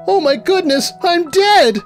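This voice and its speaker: A man voicing an overconfident, theatrical undead young female pony scholar, brisk and bossy, in a dramatic storybook reading. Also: very high-pitched, animated, soft background music, pitched above the performer's own voice. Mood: exasperated, alarmed. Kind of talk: dramatic fan fiction reading.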